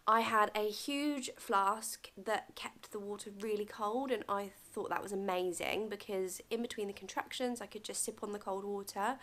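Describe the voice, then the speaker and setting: A woman's voice: medium pitch, normal speed, few pauses, a bit bright. A young woman vlogging at home, talking to camera in a chatty, friendly way and giving practical tips. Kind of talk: casual advice vlog. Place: bedroom at home, indoors.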